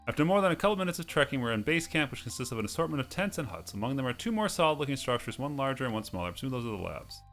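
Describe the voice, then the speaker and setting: Male let's play host, middle pitch, reads game text aloud with the odd stumble, music behind. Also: very animated, racing, hardly any pauses, a bit bright. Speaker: host of a video game playthrough series.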